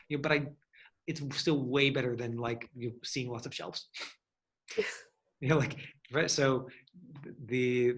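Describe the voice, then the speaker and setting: A man's voice: mid-range voice, vocal fry, a bit bright, rough recording. A man with a mid-range voice speaks as an acting teacher in an online class. He talks casually and thinks aloud, circling around his points with plenty of hedging.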